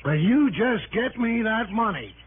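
A man's voice: high-pitched, expressive, unhurried. An actor in a 1960s radio drama playing a crooked, blustering businessman with slangy, defensive, boastful speech. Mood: belligerent, boastful. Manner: evasive stammering, slangy, boastful. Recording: muffled, noisy old radio broadcast.

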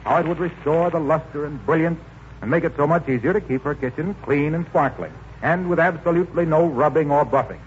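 An actor playing a cheerful, persuasive salesman with polished, florid patter in a 1940s radio comedy sponsor pitch. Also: fairly quick, lightly acted. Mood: earnest pleading. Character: eager, glib floor-wax salesman, cheerful and persuasive. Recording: muffled 1940s radio recording, faint noise.

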